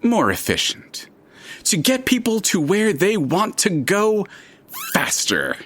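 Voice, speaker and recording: A man playing a chatty, curious, all-knowing narrator in an audio drama, with a dramatic and deliberate storytelling manner. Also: high voice, huge pitch swings, fairly bright tone, full character voice. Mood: explanatory, playful. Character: chatty omniscient male narrator, theatrical and curious.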